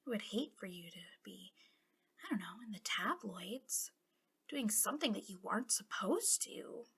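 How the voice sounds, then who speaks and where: A woman voicing a bitter, taunting female villain, a fallen hero, in an ASMR roleplay, breathy and often dropping into whispers, with a mocking, conspiratorial drawl. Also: mid-range voice, expressive, moderate pace, a bit bright, heavily acted.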